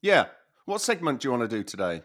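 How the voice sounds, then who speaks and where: Animated man, voice wearing thin. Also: medium pitch, fast. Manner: animated, laughs often, repeats himself. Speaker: podcast co-host. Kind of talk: casual chat.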